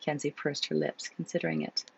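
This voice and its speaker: Hoarse woman with a cold reading aloud. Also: deep voice, very animated, a little fast, muffled recording. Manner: chatty, with fillers before reading. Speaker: author reading her own novel. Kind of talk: casual chat, then book reading.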